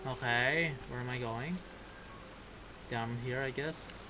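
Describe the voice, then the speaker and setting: A man's voice: medium pitch, slow pace, background noise. A man giving casual game commentary, reacting informally and muttering to himself as he plays. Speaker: gamer playing and reacting. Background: game music.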